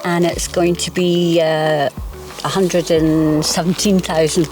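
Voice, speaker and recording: A woman's voice: on the low side, little pitch movement, takes it slowly, darkish tone, far from the mic. Scottish woman, estate agent, chatty and unpolished, recorded outdoors off-mic with music under. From Scotland. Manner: chatty, with frequent fillers and restarts. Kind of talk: outdoor video interview. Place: outdoors on a hillside above a lake.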